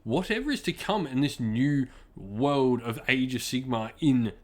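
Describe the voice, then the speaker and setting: A man with a medium-pitched voice speaks as a reviewer hosting his own channel. He sounds enthusiastic and informal, leaning on key words for emphasis. He often repeats a phrase as he builds his point. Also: lively intonation, a little slow. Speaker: book reviewer, channel host.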